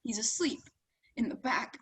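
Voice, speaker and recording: A woman's voice: a little high, unhurried, fairly bright tone, slightly rough, exaggerated voice. A woman in a play reading, acting a defiant young woman who stands up to her abuser. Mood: tense, guarded.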